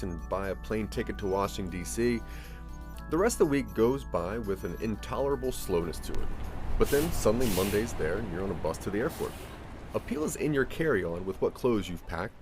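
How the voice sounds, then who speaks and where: Animated low-voiced male narrator over music. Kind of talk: scripted second-person story narration.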